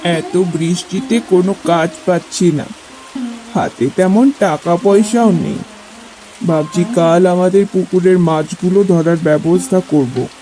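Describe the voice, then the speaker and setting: A man voicing a troubled, earnest middle-aged father from a poor village in an animated cartoon story. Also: high-pitched, measured pace, soft background music.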